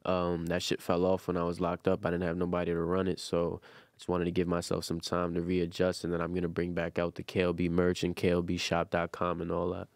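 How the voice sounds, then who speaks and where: A man's voice: low voice, monotone, fairly quick, vocal fry, darkish tone, no echo, studio quality. A man, a rapper talking as a guest in a casual interview, rambling loosely with lots of slang and "you know what I'm saying.". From Brooklyn, New York. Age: 20s.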